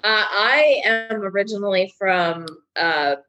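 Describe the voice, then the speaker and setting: A woman's voice: medium pitch, takes it slowly, pushed and bright, highs cut off, faint music behind. A woman from western Canada, casual and joking.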